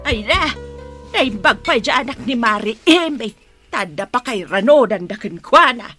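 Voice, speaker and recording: A woman's voice: a little high, lively intonation, measured pace, over music. An actress playing a harsh, nagging mother who belittles her daughter in an Ilocano radio drama. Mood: scolding. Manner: nagging, exclaiming.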